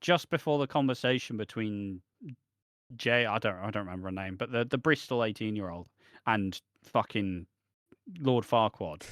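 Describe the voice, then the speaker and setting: A man's voice: lowish voice, moderate pace, a bit bright, no room sound. Rambling British man, dry close sound. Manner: rambling, opinionated, frequent restarts. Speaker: podcast co-host. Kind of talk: casual chat.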